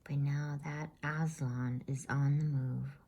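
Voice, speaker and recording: A woman voicing a grave, homely male talking beaver in a slow, folksy bedtime story reading. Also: low-pitched, level tone, a little mellow, exaggerated voice. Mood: gloomy, grave.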